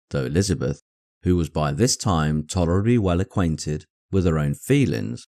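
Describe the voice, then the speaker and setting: A man with an English accent reading a classic novel as a calm, measured audiobook narrator. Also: low-pitched, no room sound, clean studio sound. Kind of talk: scripted literary narration.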